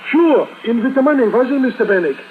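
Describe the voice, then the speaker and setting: An actor playing a nervous, fussy male store owner in a 1950s radio drama, halting and stammering as he talks. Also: very high voice, lively intonation. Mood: anxious, eager. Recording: hissy, phone-like old radio recording.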